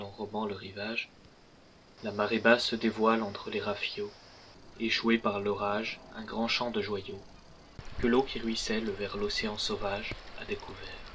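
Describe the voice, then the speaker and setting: A man reciting scripted verse in a measured, declamatory way, pausing between phrases. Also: on the low side, a bit bright, slight background noise, low-quality recording.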